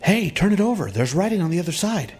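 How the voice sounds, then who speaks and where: Male adventurer for a casual audio drama, slightly high, animated delivery, light music. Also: fast talker.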